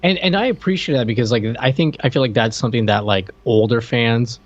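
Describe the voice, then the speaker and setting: A man's voice: mid-range voice, a little fast. A man co-hosting a show, talking casually and conversationally about cartoons, on dull lo-fi audio. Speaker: co-host.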